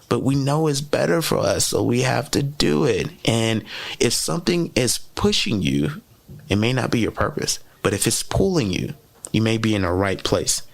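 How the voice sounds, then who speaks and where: A man whose first language is French gives a motivational teaching talk as a podcast host, chatty and repeating key phrases with frequent "right?" checks. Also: lowish voice.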